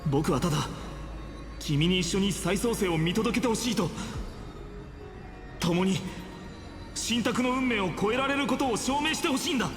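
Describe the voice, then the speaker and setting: An earnest, gentle young hero speaks sincerely in a dramatic game scene. His voice rings with reverb and loud music plays underneath. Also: high-pitched, expressive, fast, pauses often. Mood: earnest pleading. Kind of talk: dramatic Japanese game voice acting.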